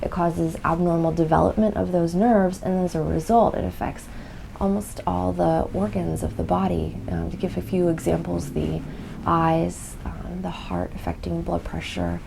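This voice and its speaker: A woman explaining a rare condition in an interview answer, pausing with fillers as she goes. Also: fairly low pitch, flat delivery, vocal fry, noisy background.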